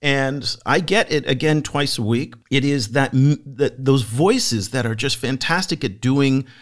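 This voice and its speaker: A man's voice: mid-range voice, a little slow. A man hosting a podcast on world affairs, introducing a guest with enthusiasm and asking interview questions. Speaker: podcast host.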